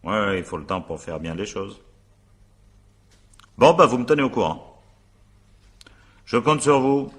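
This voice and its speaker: A man's voice: on the low side, sing-song, a little slow, pauses often, fairly bright tone, husky, full character voice. A male actor playing a shrewd, sardonic examining magistrate in a radio drama, questioning with probing irony and muttered asides. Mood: brisk, familiar. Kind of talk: French radio drama acting, naturalistic interrogation.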